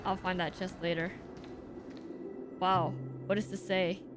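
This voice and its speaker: A woman's voice: on the low side, level tone, unhurried. A woman streamer chatting casually and playfully as she reacts to a game, often exclaiming. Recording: game music behind the voice. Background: video game music.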